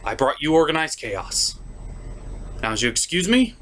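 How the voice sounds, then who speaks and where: A man voicing an excitable, sentimental puppet who hosts a cartoon review show with emphatic, theatrical delivery. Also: fairly high pitch, normal speed, slight background noise. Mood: earnest praise. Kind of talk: lively semi-scripted review commentary.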